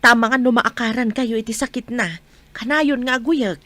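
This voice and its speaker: A woman playing a gentle, protective mother in a radio drama, soft and reassuring. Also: on the high side, moderate pace. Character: gentle, protective mother comforting her frightened child. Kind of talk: Ilocano radio drama acting.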